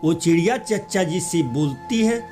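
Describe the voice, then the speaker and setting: A man's voice: on the high side, animated, normal speed, darkish tone. A man narrating a scripted children's moral story as storyteller, with music behind him.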